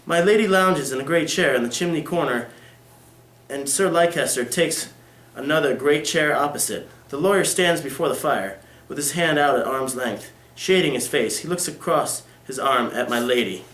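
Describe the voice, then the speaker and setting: A man's voice: fairly high pitch, moderate pace, fairly bright tone. A teenage male student giving a scripted dramatic reading of a classic novel as narrator. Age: teens. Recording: echoey room.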